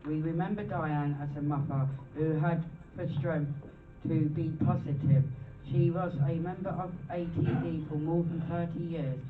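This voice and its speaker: A man reading a tribute aloud at a charity event, halting and pausing in the middle of phrases. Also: fairly high pitch, fairly even intonation. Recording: echoey, distant, phone-like, music behind.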